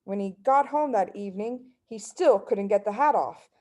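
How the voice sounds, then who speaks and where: A woman narrating a children's book as story reader, in an expressive read-aloud style. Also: medium pitch, normal speed.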